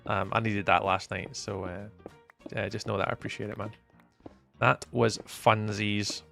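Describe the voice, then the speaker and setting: A young Scottish man from the east coast, live-streaming and chatting to viewers in a teasing, up-and-down way, from loud bursts to low mutters. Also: level tone, a little slow, gravelly, faint music behind. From east coast of Scotland. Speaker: livestreamer.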